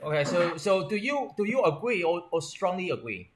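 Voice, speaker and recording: Higher-voiced man asking halting questions. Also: sing-song, slight background noise. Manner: halting, restarts and rephrases questions. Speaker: interviewer. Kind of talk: structured interview questions.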